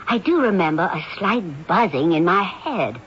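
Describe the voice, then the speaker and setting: An actress playing a bewildered film actress in a radio drama. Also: female voice, fairly low pitch, very animated, moderate pace, a bit bright. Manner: puzzled, bewildered. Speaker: actress character. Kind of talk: 1970s radio drama acting. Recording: dull old radio broadcast, faint hiss.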